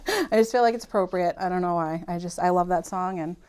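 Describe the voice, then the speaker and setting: A woman's voice: lowish voice, quick pace, darkish tone, clean tone. A woman with a mild Boston accent, a guest on a podcast, answering casually, agreeing readily and laughing often. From Massachusetts. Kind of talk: casual interview chat.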